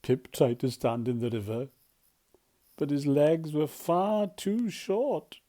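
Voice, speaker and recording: Children's storyteller. Mellow, unhurried, expressive, playful questions and hushed moments. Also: mid-range voice. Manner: animated, dramatic, often drops to hushed tones. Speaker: volunteer storyteller. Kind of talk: expressive read-aloud of a children's picture book, with questions to the listener.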